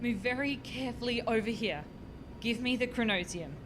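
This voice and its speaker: A woman's voice: moderate pace, natural voice. A woman playing an anxious, bossy scientist in a radio farce, exclaiming and cautioning in exasperation. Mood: exasperated. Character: anxious, bossy female scientist juggling time-travel experiments. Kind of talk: radio play farce acting. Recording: clear background noise with low rumble.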